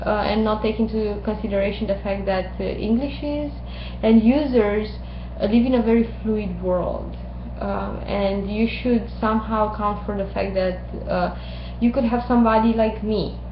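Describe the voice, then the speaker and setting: A woman from Romania in her thirties, giving a recorded mini-lecture with many ums and pauses. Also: mid-range voice, background noise. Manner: thoughtful, many ums and uhs, halting. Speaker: instructor. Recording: roomy, dull lo-fi recording.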